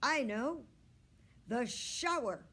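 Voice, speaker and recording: A woman reading a storybook, voicing a kindly father who talks gently and sympathetically, as if soothing a dog. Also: on the high side, animated, very slow, voice pushed up, exaggerated voice. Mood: soothing, apologetic. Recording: clear background noise.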